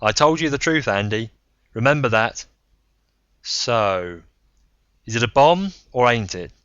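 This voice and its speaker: A man voicing a gruff, cornered, desperate man in an audiobook story, blunt and emphatic. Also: medium pitch, expressive, a little slow, fairly bright tone, dry recording, highs cut off, pitched above the performer's own voice. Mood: grim defiance.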